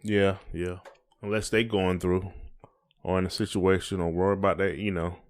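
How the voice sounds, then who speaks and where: A middle-aged American man with a fairly low-pitched voice. He talks like a podcast host in a relaxed conversation, reflective and colloquial in his phrasing. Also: normal speed. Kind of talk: casual chat.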